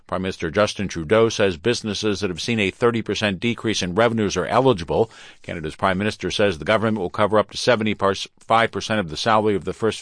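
Low-voiced American newsman, dry studio sound. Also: a bit bright, no echo. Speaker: radio newscaster. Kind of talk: scripted news bulletin reading.

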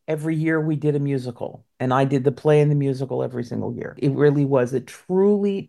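A woman in her fifties with a low voice, an opera singer answering interview questions earnestly and speaking warmly of her mentors. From New York State, USA. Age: 50s. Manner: earnest, effusive about mentors.

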